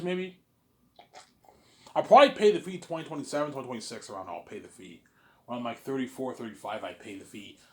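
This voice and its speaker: A man's voice: on the low side, a little mellow. Rambling man, casual and repetitive. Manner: repetitive, often restarting phrases. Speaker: speaker in a personal series about his planned film.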